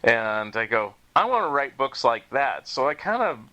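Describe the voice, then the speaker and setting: Bright-voiced man, chatty podcast host. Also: mid-range voice, big pitch swings, moderate pace. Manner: informal, peppered with you know and like. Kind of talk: casual conversational talk.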